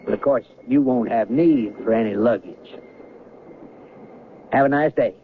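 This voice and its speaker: An actor playing a brisk, matter-of-fact train conductor in 1970s radio drama, with an officious, questioning manner. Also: male voice, mid-range voice, natural voice. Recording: thin phone-like old radio recording, noisy.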